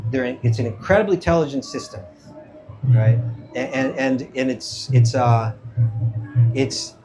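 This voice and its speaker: A man's voice: medium pitch, a little mellow, low-quality recording. A man giving an informal scripture talk to a live audience as a spiritual teacher, conversational, checking in with listeners and acting out quoted dialogue. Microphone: event microphone.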